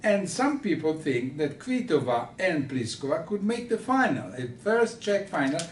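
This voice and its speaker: A man's voice: very animated, moderate pace. A man with an Italian accent hosting an unscripted tennis discussion, hesitant and searching for words as he goes. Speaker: host of a tennis radio show. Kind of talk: unscripted sports discussion with a guest. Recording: roomy, distant mic with background rumble.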